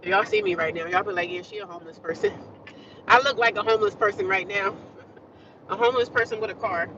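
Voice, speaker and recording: A woman's voice: medium pitch, brisk, pushed and bright, distant mic, dull audio, slight background noise. A woman chatting casually to her viewers from inside a car, talking with a congested nose. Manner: chatty, talks straight to viewers. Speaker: vlogger. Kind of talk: casual rambling chat to viewers.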